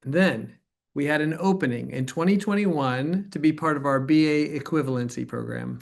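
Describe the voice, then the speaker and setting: A man playing a supportive university center director, explaining things haltingly in a conversational staged interview. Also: on the high side, vocal fry. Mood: explanatory. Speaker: performer portraying a center director. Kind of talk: dramatized interview narrative, conversational.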